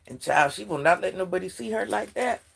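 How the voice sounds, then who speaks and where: An older woman with a deep voice, chatting casually on a livestream and talking straight to her viewers. She sounds stuffy from allergies. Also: lively intonation, brisk, vocal fry, husky. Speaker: livestream host.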